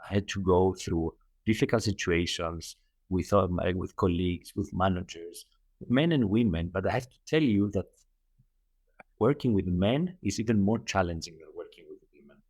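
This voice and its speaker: A middle-aged man from Greece with a Greek accent, a coach answering interview questions earnestly, often repeating himself and pausing on fillers. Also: deep voice, a little mellow, breathy edge, no room sound. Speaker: guest, holistic coach.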